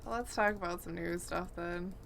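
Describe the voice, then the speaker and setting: A woman with a crisp, bright voice co-hosts a gaming podcast. Her style is casual and conversational, and there is faint noise in the recording. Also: lowish voice, steady tone, moderate pace. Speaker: podcast co-host.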